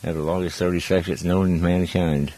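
Middle-aged male radio host, very low-pitched, folksy and easygoing, conversational. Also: level tone, a little fast, dark tone. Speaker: radio talk show host. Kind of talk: casual chat.

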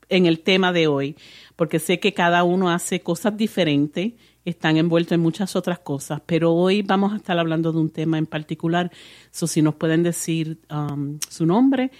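A woman hosting a community radio interview in a studio, friendly and chatty, quick to laugh with her guests. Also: fairly low pitch, darkish tone, dry recording. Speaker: radio show host.

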